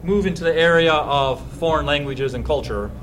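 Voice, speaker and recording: A man's voice: on the high side, normal speed, fairly bright tone, a little hiss or hum. A man hosting a casual comedic chat, lecturing as a mock teacher and laughing at his own jokes. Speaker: host playing teacher in a trivia game.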